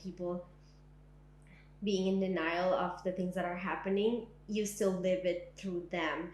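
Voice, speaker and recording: A woman's voice: on the low side, darkish tone, a little hiss or hum. Young woman giving reflective testimony, echoey room. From Syria. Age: young adult. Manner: reflective, frequent "like" and "um". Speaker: interviewee. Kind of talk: oral history testimony. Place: indoors, living room.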